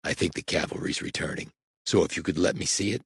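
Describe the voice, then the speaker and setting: A man voicing a huge, blunt federal agent who speaks quietly and matter-of-factly. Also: sits low, fairly even intonation, fast talker, breathy edge, no echo, studio quality, heavily acted. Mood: low-key. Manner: quiet, terse, matter-of-fact.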